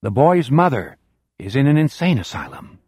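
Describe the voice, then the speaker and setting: A man's voice: medium pitch, sing-song, normal speed, darkish tone, breathy edge, dry recording. A man voicing a stern grown man who insists firmly and urgently. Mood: insistent.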